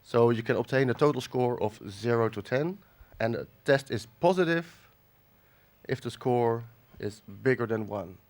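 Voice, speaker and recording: A man from the Netherlands with a cold, giving a halting academic conference lecture with many fillers and restarts. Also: medium pitch, a little mellow. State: has a cold. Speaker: conference speaker. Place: conference hall.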